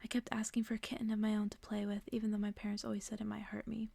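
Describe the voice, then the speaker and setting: Whispering, creaky-voiced woman reading a bedtime story. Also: medium pitch, monotone, rapid-fire, no echo. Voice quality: soft, breathy whisper. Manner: hushed, gentle narration. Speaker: ASMR creator reading stories aloud. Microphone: close-up microphone.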